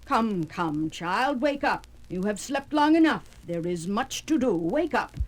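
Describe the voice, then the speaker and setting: A man's voice: sits high, very animated, measured pace. A bossy old grandmother. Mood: rousing. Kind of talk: 1970s record-album audio drama.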